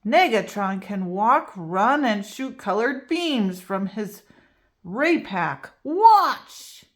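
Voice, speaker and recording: A woman voicing a boastful, teasing young schoolboy in a children's storybook, speaking loudly and mockingly. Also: medium pitch, sing-song, very slow.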